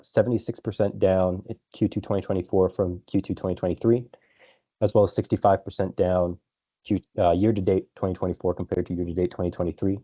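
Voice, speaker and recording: Male corporate presenter, very low voice, businesslike financial briefing, muffled lo-fi conference call sound. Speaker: company executive presenting results. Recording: dull lo-fi conference call audio.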